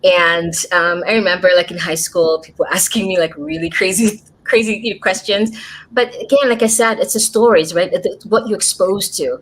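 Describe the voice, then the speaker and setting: A woman's voice: on the low side, steady tone, moderate pace, a little hiss or hum, low-quality recording. An American woman hosting a livestream podcast interview over a video call, chatty, with frequent fillers and restarts. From United States. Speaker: podcast host.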